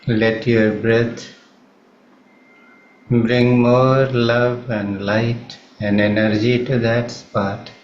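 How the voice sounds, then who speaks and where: Slow, even-toned Indian man guiding meditation. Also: mid-range voice. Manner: calm, measured, long pauses. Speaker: meditation guide. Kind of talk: guided meditation. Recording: roomy, slightly muffled, faint background noise.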